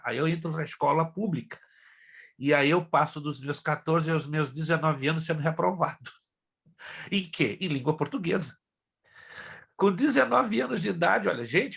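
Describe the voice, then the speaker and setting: A middle-aged pastor teaching an online class, his voice gravelly and a little husky. He grew up with Hebrew and Spanish as his first languages. He talks in a chatty way and keeps turning to speak straight to the class. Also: fairly high pitch, a bit bright. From Brazil. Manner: chatty, addresses class directly.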